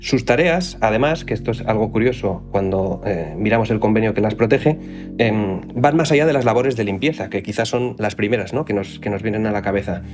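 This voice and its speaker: A man's voice: lowish voice, brisk, over music. A man working as an economics reporter, giving careful, explanatory interview answers with some fillers.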